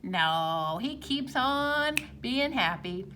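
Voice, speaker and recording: A woman teacher reading a picture book aloud to young children in an animated, exclamatory storytime voice. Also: on the high side, drawn-out words, a little hiss or hum.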